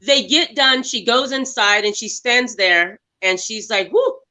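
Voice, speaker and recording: A woman guest on a movie podcast, retelling scenes with animated laughter. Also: fairly high pitch, a little slow, poor audio. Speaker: guest actress. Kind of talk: casual movie review chat.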